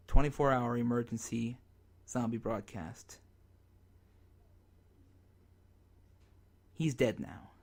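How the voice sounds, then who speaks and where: A man playing a despairing amateur radio broadcaster in a zombie apocalypse radio drama, speaking in halting, dramatic phrases. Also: medium pitch, a little mellow, lightly acted. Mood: subdued, numb.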